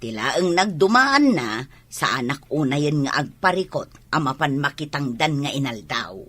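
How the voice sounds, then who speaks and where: A woman's voice: deep voice, very animated, unhurried, fairly bright tone, dry recording, heavily acted. A woman with an Ilocano Filipino accent playing a protective, proud middle-aged mother in an audio drama. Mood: defensive, proud. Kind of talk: amateur audio drama acting.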